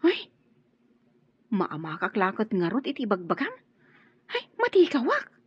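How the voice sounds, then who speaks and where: A woman's voice: medium pitch, huge pitch swings, measured pace, slightly rough, no room sound, exaggerated voice. A woman playing a teasing, nosy friend and confidante in a radio drama. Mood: persuasive. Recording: muffled, lo-fi radio drama audio.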